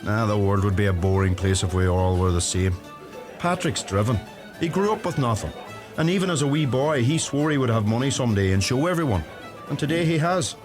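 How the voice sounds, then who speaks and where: An actor playing a gentle, thoughtful young farmer with a Donegal Irish accent in a radio play. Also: male voice, medium pitch, a little fast, natural voice. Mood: reflective. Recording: music under the voice.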